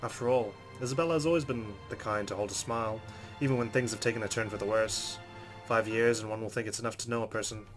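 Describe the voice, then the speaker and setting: A man's voice: lowish voice, fairly even intonation, quick pace, music in the background. A man hosting a let's play, reading visual novel narration aloud with casual asides.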